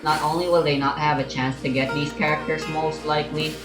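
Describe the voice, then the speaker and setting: A man's voice: on the high side, flat delivery, brisk. A man giving casual, rambling game commentary in an offhand, musing way. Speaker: gamer recording gameplay. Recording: roomy echo, music underneath. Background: game music.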